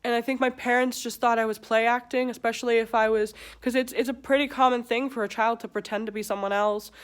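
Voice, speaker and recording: A woman's voice: fairly high pitch, fairly even intonation, smooth. Hesitant woman with an American accent. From England. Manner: hesitant, halting, many pauses and fillers. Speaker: vlogger. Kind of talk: personal confessional talk.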